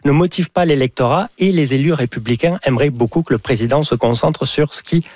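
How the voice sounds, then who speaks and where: A man, answering interview questions as an analyst in a measured, explanatory way with some hesitations. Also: mid-range voice, darkish tone, no room sound. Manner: measured, explanatory, with fillers and restarts. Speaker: political analyst guest.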